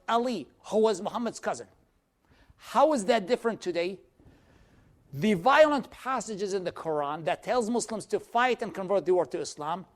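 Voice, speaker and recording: A man from Iraq with a high voice teaches a church class. He explains step by step and answers questions from the room directly. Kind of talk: lecture with audience questions.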